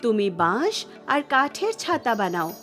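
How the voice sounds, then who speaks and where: A kind guiding cartoon goddess, high voice, calm, light music. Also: female voice, measured pace. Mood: reassuring, commanding. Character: benevolent female family goddess appearing in a dream. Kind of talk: cartoon voice acting.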